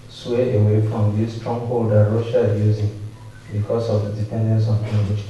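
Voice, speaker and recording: A man from Africa asking the ambassador a formal question from the floor, measured and repeating phrases. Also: lowish voice, mellow, slight background noise. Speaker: audience member asking a question. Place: conference auditorium. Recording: dull, echoey hall audio from far off.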